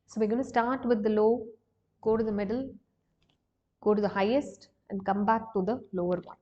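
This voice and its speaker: A woman's voice: medium pitch, steady tone, normal speed, lo-fi sound. A woman with an Indian accent teaching a singing lesson, explaining exercises step by step. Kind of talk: instructional lesson with sung demonstrations.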